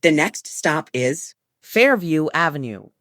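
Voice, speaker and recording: A woman with a fairly low voice reads scripted transit announcements as an automated train announcer. Her pitch moves in a lively way across measured set phrases. The sound is dry, with little room echo. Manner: formulaic, measured delivery of short set phrases.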